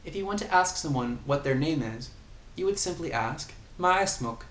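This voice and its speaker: A man's voice: fairly high pitch, expressive, a bit bright. Man teaching phrases in an echoey room. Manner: slow, patient explaining with repeated example phrases. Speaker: language tutor. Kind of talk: casual spoken language lesson. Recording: echoey room, faint ambient noise.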